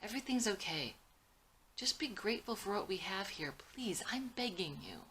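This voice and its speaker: Woman reading as bright, exasperated teenage boy. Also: mid-range voice, expressive, moderate pace, slight background noise, pitched above the performer's own voice, close to an everyday voice. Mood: exasperated, pleading. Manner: blunt, teasing, pleading. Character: teasing, exasperated seventeen-year-old athletic boy. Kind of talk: dramatic script reading.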